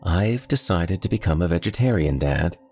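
A man's voice: low-pitched, animated, moderate pace, a little mellow, soft background music, voice pushed up. A man voicing a young college-age daughter who answers patiently and a bit pointedly. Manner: patient, lightly sardonic.

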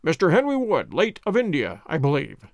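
A man reading an audiobook, voicing a calm, commanding detective in a measured, lowered voice. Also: no echo. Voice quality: measured, low and cool.

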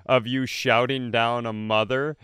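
A middle-aged man co-hosting a podcast from his home, chatting casually, laughing often and bantering back quickly. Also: mid-range voice, little pitch movement, a bit bright, smooth, no echo. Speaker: podcast co-host. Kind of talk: casual chat. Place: room at home.

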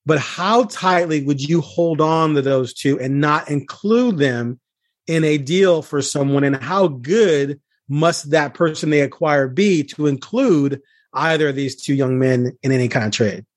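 Higher-voiced man talking sports casually. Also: a little slow, no room sound, clean studio sound. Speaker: podcast host and sports reporter.

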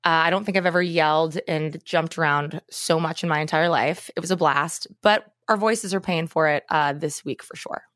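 A woman co-hosting a true crime podcast, with a hoarse voice after karaoke, moving between banter and measured, dramatic scripted narration. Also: sits low, fairly bright tone, no room sound, studio quality. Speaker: podcast co-host.

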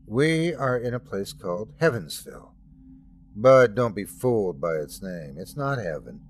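A man's voice: lowish voice, animated, measured pace. A man voicing an impassioned grown son who defies his divine father, speaking in a raised, intense voice well above his own. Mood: gentle.

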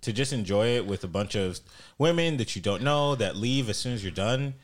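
A man's voice: medium pitch, lively intonation, brisk. A man from Haiti co-hosting a podcast, throwing in teasing jokes during casual banter. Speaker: podcast co-host. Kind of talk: casual chat.

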